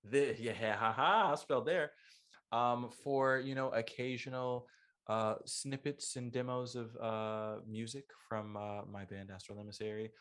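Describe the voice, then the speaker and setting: A man playing in a tabletop game, talking casually and excitably with lots of fillers and restarts. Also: medium pitch, a little slow. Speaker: player. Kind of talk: casual post-game chat.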